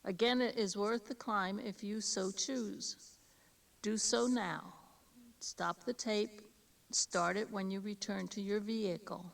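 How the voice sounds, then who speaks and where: An American woman reading scripted tour directions in a measured, formal, instructional manner. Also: medium pitch, steady tone, vocal fry, slight background noise. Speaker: audio tour guide giving directions. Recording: distant-sounding 1983 cassette tape.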